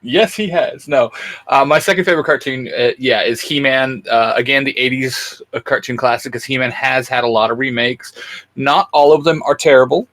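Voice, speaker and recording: A man's voice: mid-range voice, moderate pace, fairly bright tone. A man co-hosting a casual fan chat, giving his opinions in a hesitant, joking manner. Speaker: co-host of a fan discussion show.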